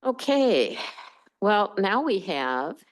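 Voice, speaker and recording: A woman chairing a village board meeting in a meeting room, brisk and upbeat as she moves the agenda along. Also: medium pitch, very animated, low-quality recording.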